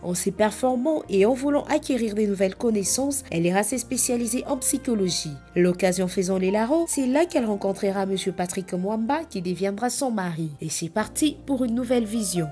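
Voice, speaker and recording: A woman narrating a television feature in a measured, declamatory way. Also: medium pitch, animated, dark tone, faint music behind. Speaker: reporter narrating a TV segment. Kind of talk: scripted TV narration.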